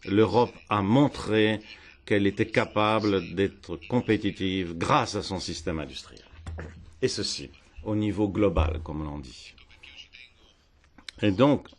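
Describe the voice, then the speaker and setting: A man with a fairly low voice interprets a speech in real time. His delivery comes in halting bursts, and he repeats phrases as he catches up with the speaker. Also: a little hiss or hum. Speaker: simultaneous interpreter. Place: parliament hemicycle.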